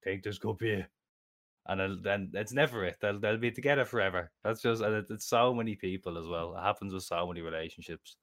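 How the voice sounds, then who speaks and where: A man's voice: lowish voice, quick pace, a bit bright, no echo, clean studio sound. A young man with a Dublin Irish accent, chatting casually as podcast co-host and rambling with lots of "like"s. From Ireland. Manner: rambling, self-interrupting with many "like"s. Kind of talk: casual chat.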